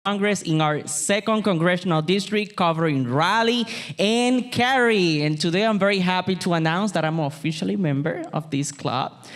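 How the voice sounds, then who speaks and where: Man with a Puerto Rican accent, campaigning. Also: high voice. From Puerto Rico. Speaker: political candidate. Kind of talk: campaign speech.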